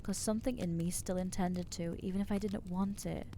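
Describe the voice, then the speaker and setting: A woman voicing an anxious, guilt-ridden young female vampire in an ASMR roleplay, speaking haltingly and stammering as she reproaches herself. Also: lowish voice, steady tone, warm tone, slight background noise, lightly acted. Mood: anguished.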